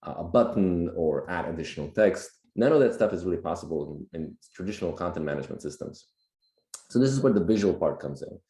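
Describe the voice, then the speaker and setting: Deep, mellow, slightly raspy man. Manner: plain-spoken, explanatory. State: just getting over a cold. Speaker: podcast guest, tech executive. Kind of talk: casual explanatory tech talk. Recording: roomy, echoey sound.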